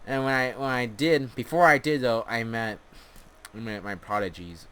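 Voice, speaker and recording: A man's voice: mid-range voice, normal speed, fairly bright tone, smooth. A man telling comic stories casually over gameplay, rambling in a tongue-in-cheek, mock-earnest way. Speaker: host of a gaming storytelling channel.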